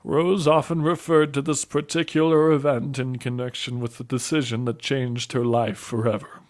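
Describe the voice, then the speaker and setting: A man as measured, mid-voiced priest. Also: medium pitch, little pitch movement, darkish tone, close to an everyday voice. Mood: earnest, instructive. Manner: measured, explanatory. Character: calm priest confessor narrating a saint's story. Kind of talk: audio drama acting and narration.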